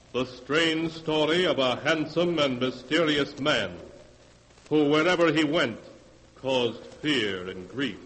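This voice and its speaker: An ominous, theatrical storyteller of dark tales from 1940s radio horror. He draws out every phrase slowly and portentously, his voice ringing in a long hall reverb. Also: mid-range voice. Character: ominous storyteller narrating tales from a sealed book.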